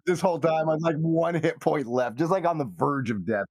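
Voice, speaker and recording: A man narrating as game master of a tabletop game, improvising in a halting, measured way. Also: on the high side, a little mellow, no echo, clean studio sound.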